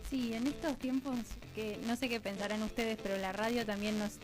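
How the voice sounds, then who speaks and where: Radio guest, female, Argentine accent, higher register, reflective conversational delivery, faint music bed. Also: steady tone, moderate pace, poor audio. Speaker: radio drama producer and guest.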